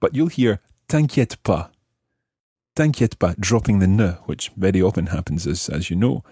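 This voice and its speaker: Male language tutor: low, slightly rough voice, close dry sound, didactic, repeats key phrases. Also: mellow, dry recording. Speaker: language lesson presenter. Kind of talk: explaining French grammar phrase by phrase.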